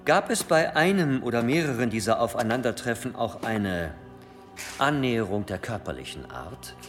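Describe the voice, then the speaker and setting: Cunning church inquisitor, formal and insistent, very animated delivery, echoing hall with music underneath. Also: male voice, mid-range voice, normal speed. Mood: probing, stern. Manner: formal, insistent, rhetorical courtly address. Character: cunning, relentless cathedral provost conducting an interrogation. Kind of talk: period radio drama acting. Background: dramatic music.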